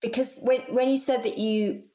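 A woman interviewing a guest in a casual conversation, asking gentle, attentive follow-up questions. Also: on the high side, steady tone, moderate pace, reverberant.

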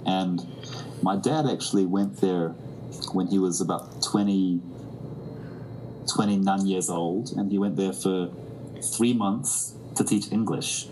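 A musician from New Zealand, a man, speaking reflectively in an interview. He often restarts sentences and fills pauses. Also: low-pitched, fairly even intonation, darkish tone, a little hiss or hum, low-quality recording. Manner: thoughtful, many restarts and fillers. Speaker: musician guest.

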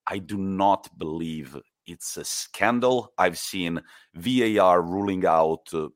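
Low-pitched man, emphatic football chat, dry close sound. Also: takes it slowly, dry recording. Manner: animated, emphatic storytelling. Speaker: podcast co-host.

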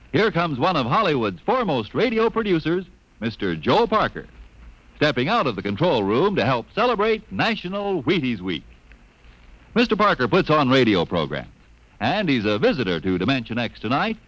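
A man's voice: mid-range voice, a bit bright, no room sound, rough recording. A man announcing in the style of a 1950s radio commercial. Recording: vintage radio broadcast.

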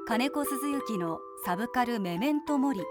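A woman's voice: on the high side, animated, moderate pace. A woman reading scripted programme credits as an announcer. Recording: loud mallet-percussion music behind voice.

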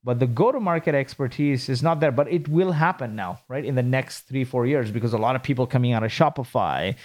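A man from Kuwait, a startup founder, answering interview questions in a brisk, businesslike way with frequent rhetorical questions. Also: medium pitch, darkish tone.

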